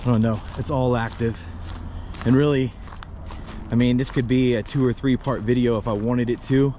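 A man's voice: mid-range voice, normal speed. Man, thirties, handheld ghost-hunting vlogger, nervy, noisy ambience. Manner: jumpy, excitable, swears often. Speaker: paranormal vlogger. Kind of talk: handheld exploration vlog. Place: abandoned mansion and overgrown grounds, in and outdoors. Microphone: handheld selfie camera.

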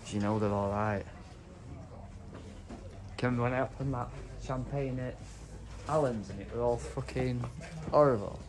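A man's voice: mid-range voice, moderate pace, long pauses, rough recording. A man chatting casually and bluntly in short informal remarks. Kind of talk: casual chat. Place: indoor auction warehouse. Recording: busy warehouse with people chattering behind.

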